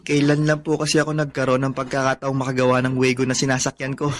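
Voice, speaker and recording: A man's voice: medium pitch, monotone, fast, slight background noise, natural voice. A man acting in a radio drama as a wistful, determined young son who speaks earnestly and politely.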